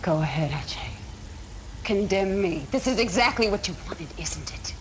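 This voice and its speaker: A woman's voice: medium pitch, expressive, normal speed, slight background noise, close to an everyday voice. An actress playing a defiant female military general in a game cutscene, earnest and direct. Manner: earnest, pleading then defiant.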